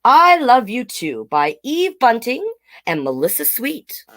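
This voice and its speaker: A woman hosting a live children's storytime, with animated, sing-song delivery and chatty asides. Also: on the high side, slow pace.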